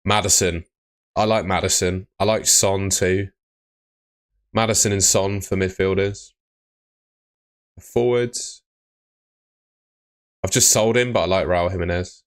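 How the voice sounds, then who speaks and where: Young male streamer, low voice, relaxed and chatty, reading viewer comments. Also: measured pace, long pauses. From Bristol, England. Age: young adult. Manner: relaxed, chatty, answers chat questions. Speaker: fantasy football streamer. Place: small room indoors.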